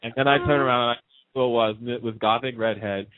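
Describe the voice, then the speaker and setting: A man who works as a radio host, chatting casually and telling fan anecdotes in an excited, dramatic way. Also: mid-range voice, quick pace, no room sound, phone-quality sound.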